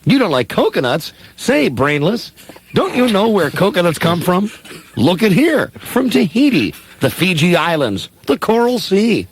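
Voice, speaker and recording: A man's voice: sits high, very animated, measured pace, husky, full character voice. A man playing a twelve-year-old drugstore boy in a comedic radio play. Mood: cocky, boastful.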